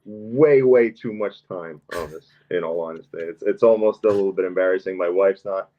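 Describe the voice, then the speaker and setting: Man from Norway, low voice, rambling with fillers, tired, lo-fi dull sound. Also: moderate pace. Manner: rambling, many fillers and restarts. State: short on sleep. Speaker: NFT artist guest. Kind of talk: casual podcast chat.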